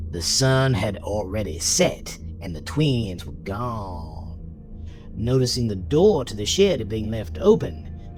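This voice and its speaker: A man's voice: lowish voice, low-quality recording. A man narrating a scripted story in a dramatic style, as the narrator of an audio drama. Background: music behind the voice.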